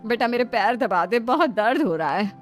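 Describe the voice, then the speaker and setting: A woman's voice: fairly high pitch, expressive, moderate pace, faint music behind, lightly acted. Woman doing a bossy, fussing mother-in-law. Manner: commanding, fussy.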